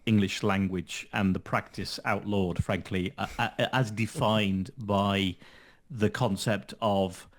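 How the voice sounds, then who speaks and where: A man being interviewed as a company founder, talking in an animated, emphatic way full of rhetorical questions and restarts. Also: fairly low pitch, slow, a little hiss or hum. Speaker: guest, company founder and CEO. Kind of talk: conversational interview answers.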